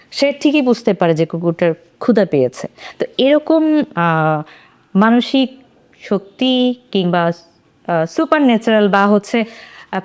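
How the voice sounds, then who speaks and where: A woman giving thoughtful opinions in a literary discussion, explaining in short phrases with pauses. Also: mid-range voice, darkish tone. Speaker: panelist.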